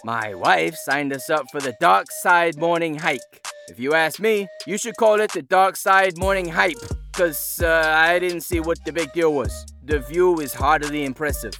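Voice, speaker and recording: An actor playing an indignant, whiny dad in a comedy podcast, ranting petulantly through a scathing customer review. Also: male voice, a little high, unhurried, few pauses, sharp tone, soft background music. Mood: exasperated. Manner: exasperated, petulant, emphatic complaining.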